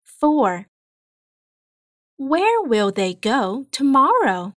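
A woman reading an English listening test in a slow, carefully spaced delivery. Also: high-pitched, big pitch swings, fairly bright tone, no room sound, clean studio sound. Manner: clear, carefully spaced words. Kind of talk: slow, deliberate exam reading.

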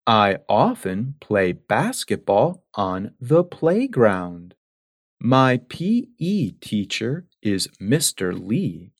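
A man reading English listening test passages in a slow, carefully spaced delivery. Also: lowish voice, big pitch swings, dry recording, studio quality. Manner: clear, carefully spaced words. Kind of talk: slow, deliberate exam reading.

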